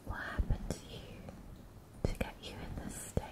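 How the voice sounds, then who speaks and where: A young woman playing a gentle, reassuring female alien who repairs a patient, in a soft, breathy ASMR roleplay whisper. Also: natural voice. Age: young adult. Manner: soft, soothing, unhurried.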